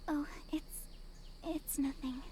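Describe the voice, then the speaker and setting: An actress playing a timid, anxious, apologetic convent girl in a visual novel, speaking haltingly. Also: sits high, steady tone, warm tone. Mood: hesitant. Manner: hesitant, halting. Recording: noisy game audio with clicks and ambience.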